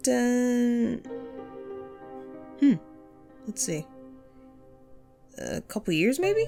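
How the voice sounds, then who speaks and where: A woman's voice: a little high, darkish tone. A woman playing a chatty, upbeat ghost of a radio host and ghost hunter in an audio roleplay, playful and musing in manner. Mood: wistful, chatty. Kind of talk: scripted one-sided audio roleplay, conversational.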